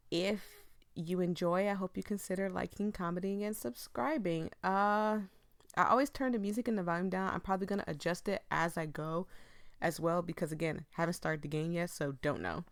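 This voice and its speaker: A woman's voice: lowish voice, no room sound, clean studio sound. A woman hosting a casual let's play, reading game text aloud and chatting apologetically to viewers as she breaks off her own sentences. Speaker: gaming video host.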